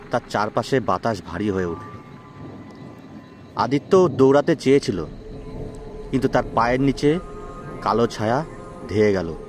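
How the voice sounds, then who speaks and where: A man narrating a suspense horror story in a dramatic storytelling style. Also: mid-range voice. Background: background music.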